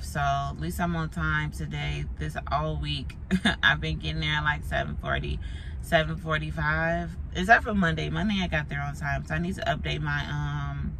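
A young woman with a raspy, hoarse voice, a teacher chatting candidly to a phone camera on her dashboard while she drives. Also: sits low, level tone, moderate pace. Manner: candid, chatty, swears casually. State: voice going hoarse. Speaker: teacher vlogging. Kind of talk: casual chat. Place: inside a moving car. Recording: loud road noise from driving.

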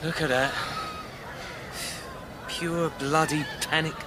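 An actor playing a brisk, cheeky, streetwise English army gunner in a radio play, colloquial and sardonic. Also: male voice, on the high side, steady tone. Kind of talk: naturalistic radio play acting. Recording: noisy outdoor ambience behind dialogue.

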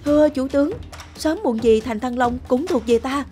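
A woman's voice: sits high, normal speed, mellow, faint music behind, close to an everyday voice. A woman voicing a dutiful male soldier reporting urgently and deferentially in a historical cartoon. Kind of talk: cartoon history drama acting.

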